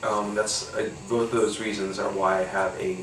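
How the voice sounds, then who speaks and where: A man's voice: on the low side, level tone, normal speed, echoey room, recorded from a distance, background noise. A man with a congested, nasal voice from a cold, rambling casually with lots of fillers and casual swearing while he tastes a beer. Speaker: podcast host. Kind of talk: casual solo beer-tasting chat.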